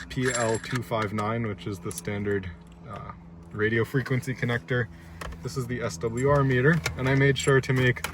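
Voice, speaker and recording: A man with a mid-range voice presents a casual DIY walkthrough. He explains methodically, one step at a time, while he works. He is recorded inside a car, with clear noise behind his voice. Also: mid-range voice.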